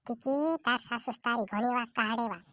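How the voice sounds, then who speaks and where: A woman's voice: a little high, normal speed, a bit bright, no echo, close to an everyday voice. A woman voicing a nosy older village woman who keeps questioning the young wife. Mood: prying. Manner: prying, questioning.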